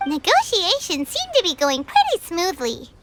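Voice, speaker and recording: A woman voicing a tiny, excitable floating companion creature in a game cutscene, chirpy and full of exclamations. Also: very high voice, moderate pace, loud music behind the voice, exaggerated voice. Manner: chirpy, exclaiming, calls herself in third person.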